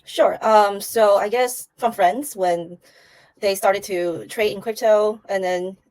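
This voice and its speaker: A woman's voice: mid-range voice, fairly even intonation, slow, darkish tone, faint music behind. A woman from Taiwan, a guest on a livestream interview, chatting casually with many fillers and restarts over a remote call mic.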